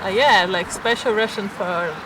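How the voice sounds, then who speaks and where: A woman's voice: mid-range voice, moderate pace. A middle-aged woman from southern Brazil chats casually in hesitant English with a Brazilian accent, a native Portuguese speaker. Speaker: video blogger interviewing a friend. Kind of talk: casual chat. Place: outdoors in a city park. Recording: outdoor recording with urban noise.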